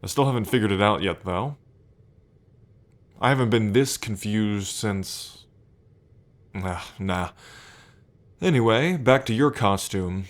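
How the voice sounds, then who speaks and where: A man's voice: fairly low pitch, expressive, slow, pauses often. A man playing a smug, teasing demon prince of pride in an ASMR roleplay, drawling and playfully taunting the listener. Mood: teasing, casual.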